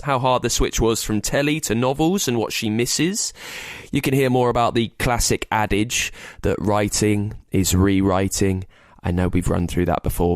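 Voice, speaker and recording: A man's voice: medium pitch, dry recording, studio quality. A man speaking as a podcast host, delivering a scripted but conversational introduction in a chatty, informal way.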